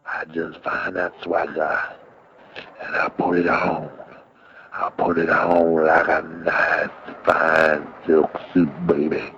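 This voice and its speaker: A gruff old blues singer, very deep and slow, full of boastful swagger. His throaty drawl sits over plucked guitar in a muffled lo-fi recording. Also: monotone, fairly bright tone, a little hiss or hum, full character voice. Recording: dull lo-fi sound, music behind.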